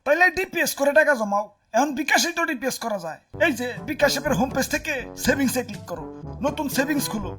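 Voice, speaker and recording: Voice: high-pitched, expressive, fast, bright, forceful tone, faint music behind. A performer voicing a boastful, flirtatious cartoon sun with slangy, theatrical delivery and a Bangladeshi accent. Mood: cocky. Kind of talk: comic animated cartoon dialogue.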